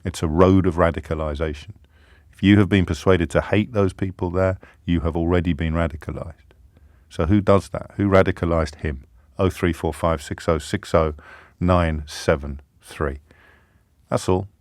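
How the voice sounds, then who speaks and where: Deep-voiced impassioned radio host. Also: male voice, fairly even intonation, moderate pace, dry recording, studio quality. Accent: English. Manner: forceful, rhetorical, repeats questions. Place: radio studio. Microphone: studio microphone.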